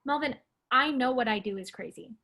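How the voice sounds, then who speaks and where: A woman's voice: high-pitched, a little fast, gravelly, bright, forceful tone, full character voice. An actress playing a headstrong, wry young woman in a staged play reading. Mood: wry, resolute.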